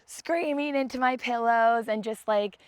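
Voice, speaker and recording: A young woman with a raspy voice, teaching a guided yoga class in a calm, gentle way. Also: fairly high pitch, measured pace, dry recording. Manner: calm, gentle guidance with soft sighs.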